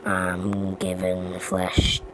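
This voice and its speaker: A woman voicing a vengeful, tormenting nightmare animatronic from a horror game, slow, gravelly and grandiose. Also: extremely low pitch, completely flat delivery, full character voice. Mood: vengeful, gloating. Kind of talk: fan recreation of horror game voice lines.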